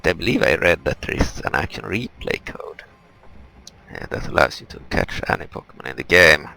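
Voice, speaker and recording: Very deep, gravelly man doing gaming commentary. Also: lively intonation, pushed and bright, poor audio. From Europe. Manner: halting, rambling, explanatory. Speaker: gaming video host. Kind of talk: casual let's play commentary.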